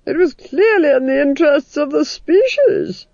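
A ruthless noblewoman from a storybook. She is haughty and imperious, speaking high and with sweeping intonation. Her orders come slowly and deliberately, in a close, dry sound. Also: lively intonation, creaky, warm tone, dry recording, dull audio. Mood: cold, scornful. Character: haughty, ruthless, commanding duchess.

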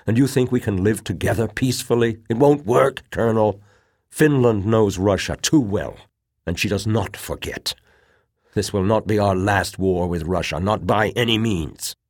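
A man's voice: fairly low pitch, normal speed, no room sound, clean studio sound, voice pushed up. A man voicing a loyal, formal colonel in an audiobook, brittle with cold disdain and gravity. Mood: grave, insistent. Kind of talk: dramatized audiobook dialogue.

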